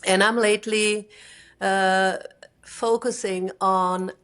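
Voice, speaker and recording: A middle-aged woman with a Czech accent, a native Czech speaker and executive coach, talking thoughtfully as an interview guest and sometimes pausing to find English words. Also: mid-range voice, fairly even intonation, fairly bright tone, clean tone. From Czech Republic. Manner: thoughtful, searching for words, careful phrasing. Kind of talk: reflective interview conversation.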